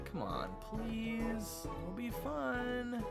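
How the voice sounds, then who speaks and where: A man's voice: very high voice, big pitch swings, very slow, vocal fry, heavily acted. A man acting an earnest teenage knight over music. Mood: pleading. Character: earnest, urgent teenage knight, male, protective and stubborn. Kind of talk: audio roleplay drama with first-person narration.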